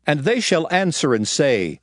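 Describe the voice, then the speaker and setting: A man's voice: on the high side, very animated, a little slow, no echo. A man narrating scripture in a solemn, formal manner as part of a dramatized reading.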